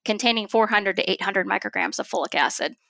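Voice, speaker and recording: A woman speaking as an expert physician guest in an interview, explaining things in a measured, formal and precise way. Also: mid-range voice, vocal fry, pushed and bright, no room sound.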